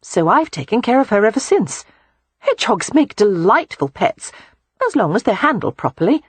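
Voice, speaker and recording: A woman's voice: mid-range voice, very animated, moderate pace, husky, no room sound, pitched above the performer's own voice. A woman voicing an eager young lady. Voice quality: bright, earnest. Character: eager, bright young gentlewoman, frank and warm.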